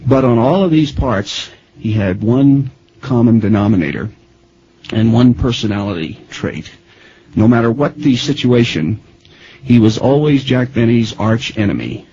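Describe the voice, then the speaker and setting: An American man interviewing as a radio host, with a halting, deliberate way of introducing things. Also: medium pitch, lively intonation, mellow, a little hiss or hum, low-quality recording.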